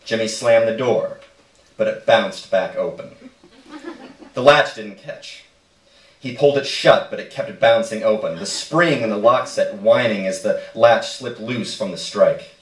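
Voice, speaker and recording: Male live story reader, lower register, roomy distant sound. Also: a little slow. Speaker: reader of a short story on stage. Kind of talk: live literary reading, narration. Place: indoor stage reading in a roomy space. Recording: echoey room, distant microphone.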